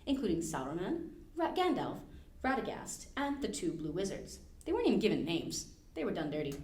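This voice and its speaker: A woman's voice: medium pitch, a little mellow. A woman hosts a library podcast and reads a scripted overview with plenty of rise and fall in her voice. She drops in casual, wry asides, and the room around her has a noticeable echo. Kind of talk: scripted narration of lore. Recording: roomy echo.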